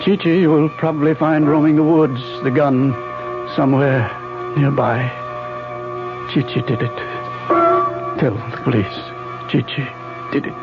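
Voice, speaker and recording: An actor playing a dying scientist who recounts his own murder in halting, dramatic phrases, in 1970s radio drama style. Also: mid-range voice, natural voice. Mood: grave, bewildered. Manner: halting, dramatic narration in short broken phrases. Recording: dull vintage radio broadcast with music underneath.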